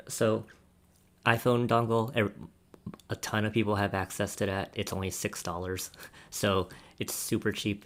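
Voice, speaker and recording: A man with a low voice talks in a casual roundtable discussion. He speaks as a reviewer on the panel and often chuckles between his points. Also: fairly bright tone. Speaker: audio gear reviewer and podcast panelist.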